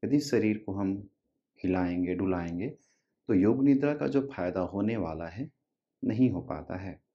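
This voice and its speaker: A man guiding a relaxation meditation as a yoga instructor, giving short instructions with long pauses, in a dull recording. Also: low-pitched, animated.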